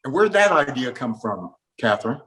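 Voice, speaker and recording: A man's voice: mid-range voice, sing-song, normal speed, fairly bright tone. A man hosting a casual interview, reminiscing in an earnest, nostalgic way. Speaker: interview host.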